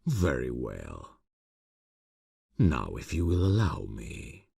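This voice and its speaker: A man voicing a suave, sinister vampire boss in an ASMR fantasy roleplay, speaking slowly and formally in a gravelly, husky voice with silky, courteous menace. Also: rumbling low, sing-song, dark tone, no room sound, clean studio sound, heavily acted. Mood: intrigued, conspiratorial. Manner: slow, silky, formal, condescending endearments.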